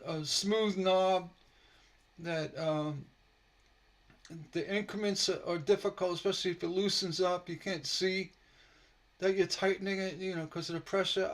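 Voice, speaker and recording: Slightly hoarse, high-voiced man teaching. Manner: relaxed and rambling, many fillers. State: slightly hoarse from a sore throat. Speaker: stained glass instructor. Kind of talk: casual live-stream teaching. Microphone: computer mic on a live stream.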